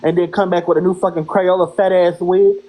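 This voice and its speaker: A woman with a deep voice, a live-stream guest talking bluntly and indignantly, with plenty of profanity. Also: flat delivery, brisk, dark tone. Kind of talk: heated live-stream talk.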